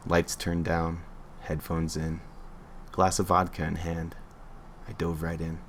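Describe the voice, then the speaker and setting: A man's voice: deep voice, level tone, unhurried, a little hiss or hum. A man reading a creepy internet story aloud on a livestream in an offhand way, stumbling and correcting himself as he goes.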